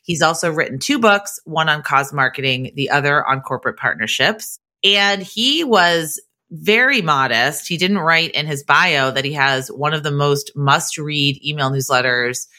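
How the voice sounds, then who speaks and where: American woman, podcast host, low register with bright, crisp projection; upbeat, encouraging delivery. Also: normal speed.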